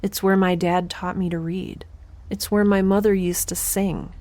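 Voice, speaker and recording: A woman's voice: medium pitch, level tone, a little slow, a little mellow, no echo, lightly acted. A woman playing a wry, stubborn young scientist in a space audio drama, with a dry, sardonic and precise way of talking. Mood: earnest, pleading. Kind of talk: audio drama acting, first-person log narration.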